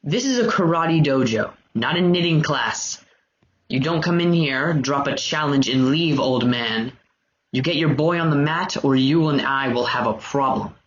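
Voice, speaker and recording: A man's voice: on the high side, lively intonation, unhurried, crowd noise. A man playing a menacing, contemptuous karate sensei in a table read of a film scene, with a hard, clipped delivery. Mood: threatening. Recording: dull, noisy home call audio.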